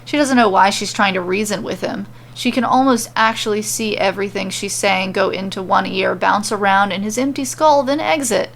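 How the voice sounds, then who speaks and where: A woman's voice: mid-range voice, moderate pace, creaky, hardly any pauses, fairly bright tone, a little hiss or hum. A woman narrating scripted comedic fan fiction as a storyteller.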